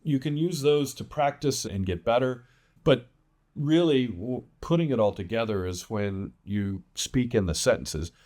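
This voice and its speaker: A man giving an English pronunciation lesson as a teacher, enunciating example words with deliberate emphasis. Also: mid-range voice, animated, darkish tone. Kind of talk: instructional lesson with demonstrated words.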